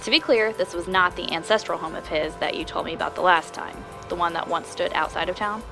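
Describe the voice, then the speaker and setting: An actress playing a curious, friendly podcast investigator, speaking conversationally in a scripted audio drama. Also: female voice, on the low side, brisk, vocal fry, over music, rough recording. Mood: inquisitive. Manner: conversational, inquisitive.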